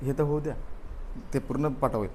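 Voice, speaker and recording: A man's voice: medium pitch, brisk, slight background noise. Man teaching a class. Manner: drills answers through repetition and questions. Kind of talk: classroom exam review.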